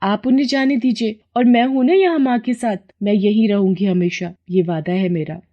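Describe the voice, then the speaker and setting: A woman's voice: mid-range voice, moderate pace, muffled recording, lightly acted. A woman voicing an earnest, devoted and principled younger sister in a Hindi cartoon story, with a pleading manner.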